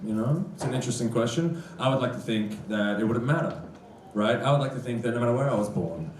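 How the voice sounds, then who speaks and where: A man's voice: medium pitch, lively intonation, quick pace, echoey room, a little hiss or hum. A young Australian man doing a live stand-up comedy set, joking with the audience in a dry, conversational manner. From Sydney, Australia. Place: on stage in a comedy club. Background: crowd laughter.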